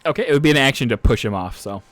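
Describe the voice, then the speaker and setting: A man's voice: mid-range voice, expressive, very fast, a bit bright, dry recording. Man running a tabletop game. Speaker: game master. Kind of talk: improvised narration of a tabletop game.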